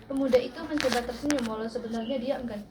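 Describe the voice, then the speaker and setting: A woman's voice: a little high, fairly even intonation, fast. A woman reads a story aloud to friends, breaking off to joke. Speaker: reader of a story. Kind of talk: casual reading aloud with banter. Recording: roomy, distant, noisy.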